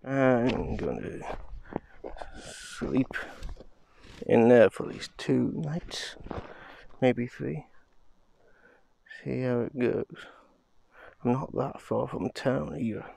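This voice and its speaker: A man in his thirties mutters through a casual vlog, recorded on a handheld phone outdoors among pine trees. His speech is slow and unhurried, with a gravelly, husky edge. Now and then he swears quietly under his breath. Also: medium pitch, animated. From Scotland. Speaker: vlogger. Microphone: handheld phone camera.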